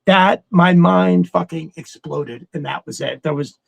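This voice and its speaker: Excitable high-voiced man with creaky edge. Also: unhurried, dry recording. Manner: excitable, rambling, profane. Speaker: podcast co-host. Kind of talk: casual fan chat.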